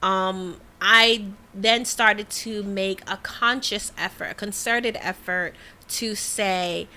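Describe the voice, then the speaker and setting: A woman hosting a casual radio interview, talking in an animated, affirming way. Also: medium pitch, slow pace.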